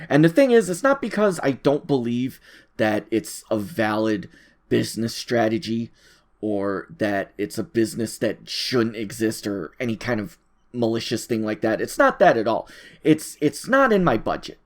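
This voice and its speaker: A man giving a casual, rambling solo audio log, thinking aloud in a halting, informal way and often repeating his phrases. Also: fairly low pitch, very animated.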